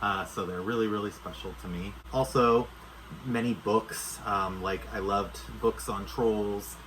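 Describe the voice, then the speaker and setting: Middle-aged male vlogger, slightly deep voice, sincere and chatty, light background noise. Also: fairly even intonation, a little slow, fairly bright tone, rough recording. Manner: enthusiastic, sincere, rambling. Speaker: doll maker and vlogger. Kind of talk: casual chat to camera.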